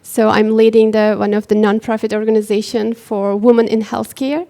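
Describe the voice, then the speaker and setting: A woman's voice: fairly high pitch, level tone, normal speed, vocal fry, warm tone. A woman from Uzbekistan with an Uzbek accent, informally introducing herself at a live event in a hesitant, self-effacing way. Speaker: audience participant, nonprofit leader. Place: in-person conference session room.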